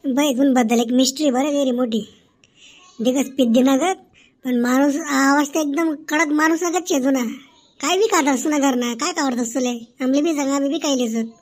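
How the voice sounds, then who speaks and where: A high-pitched, cocky cartoon schoolboy played by a woman with a Khandeshi Ahirani accent. He teases and argues, a mischievous village kid who always talks back. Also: a little fast, close to an everyday voice. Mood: teasing. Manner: cocky, teasing, argumentative. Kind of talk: comedy cartoon dialogue.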